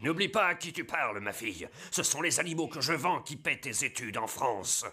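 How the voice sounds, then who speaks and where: An actor playing a greedy, domineering animal trader in a cartoon, with a commanding, pompous delivery. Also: male voice, medium pitch, animated, moderate pace, husky, heavily acted. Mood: irritated, scornful. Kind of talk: dubbed cartoon acting. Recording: loud music behind the voice.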